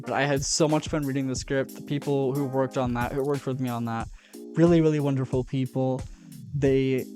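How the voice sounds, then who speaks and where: A man's voice: medium pitch, darkish tone. A man from Texas giving a casual, upbeat vlog update from his bedroom, often repeating words for emphasis. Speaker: furry vlogger.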